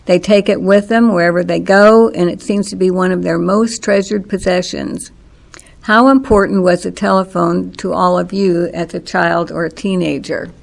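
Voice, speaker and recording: An older woman from Kansas leading an oral history interview with measured, formal questions. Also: fairly low pitch, fairly even intonation, gravelly.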